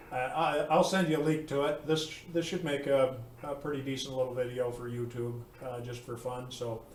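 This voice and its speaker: A man chatting casually as a radio operator, speaking in a halting, polite, informal way. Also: mid-range voice. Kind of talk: casual ham radio chat. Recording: roomy, distant mic, faint noise.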